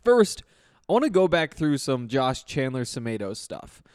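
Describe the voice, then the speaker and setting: A man's voice: medium pitch, very animated, normal speed, darkish tone, clear, resonant tone, no room sound, studio quality. A man hosting a sports podcast in a casual, rambling way, his voice still recovering after losing it. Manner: rambling, conversational. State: recovering from voice loss; allergy flare-up. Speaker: podcast host.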